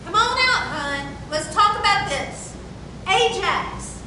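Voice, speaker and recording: A woman's voice: expressive, takes it slowly, heavily acted. A woman playing a protective, worried mother who pleads and gives orders in folksy Southern wording at a stage play reading. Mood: coaxing. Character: protective, worried mother of a grown daughter, bossy. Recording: very echoey room from far off, rumbling noise.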